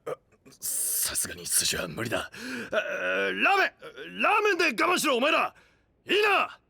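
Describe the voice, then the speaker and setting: Brash, rough anime knight boss. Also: male voice, very high-pitched, huge pitch swings, moderate pace, pushed and bright, no room sound, heavily acted. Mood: blustering, flustered. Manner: brash delinquent-style speech, blustering. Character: gruff, ambitious young male knight boss, secretly soft-hearted. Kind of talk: Japanese anime voice drama acting. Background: background music.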